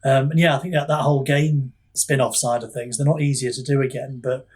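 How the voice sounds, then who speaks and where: A man's voice: mid-range voice, flat delivery, a little fast, husky. A man talking casually as an author on a podcast, modest and hesitant, rambling a little.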